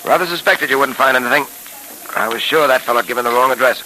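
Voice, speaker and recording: A man's voice: mid-range voice, fast, bright, forceful tone, low-quality recording. An American man acting a shrewd, protective detective with a clipped, authoritative delivery in a 1930s radio serial. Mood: easygoing, firm. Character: shrewd, steady, protective adult male detective hero. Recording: hissy 1930s radio recording.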